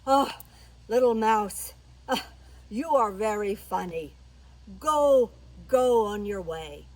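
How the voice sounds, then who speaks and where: A woman's voice: mid-range voice, lively intonation, slow, distant mic. A woman voicing a big, proud male lion in an animal fable, imperious and emphatic. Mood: amused.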